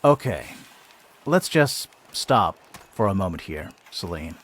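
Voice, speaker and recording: An actor playing a brash, tormented last heir of a noble line in a video game cutscene, with a dramatic, sardonic delivery. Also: male voice, low voice, sing-song, takes it slowly, a bit bright, exaggerated voice. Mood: exasperated, earnest.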